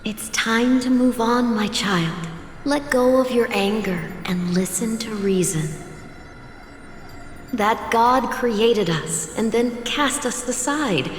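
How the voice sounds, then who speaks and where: A woman playing a solemn motherly apparition in a video game, coaxing yet bitter. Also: on the high side. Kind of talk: dramatic game voice acting. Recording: reverberant, with faint ambient noise.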